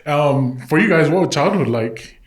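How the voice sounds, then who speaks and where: A man hosting a podcast, asking questions in an unhurried way with filler words. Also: a little high, lively intonation, echoey room. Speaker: podcast host.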